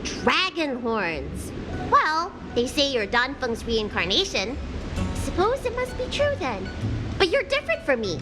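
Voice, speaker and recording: A carefree, childlike dragon-kin healer in a game, her voice very high and full of swoops. She teases and wonders in a playful way, taking her time. Game music plays clearly behind her. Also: huge pitch swings, measured pace, exaggerated voice. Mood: teasing. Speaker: game character. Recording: game dub over loud music.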